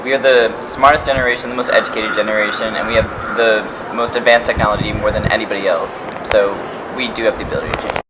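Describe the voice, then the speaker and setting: Freshman man, 18, confident and quick; fairly low voice, noisy recording. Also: steady tone. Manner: emphatic, confident. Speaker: interviewee, university freshman. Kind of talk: casual interview answers. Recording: background noise.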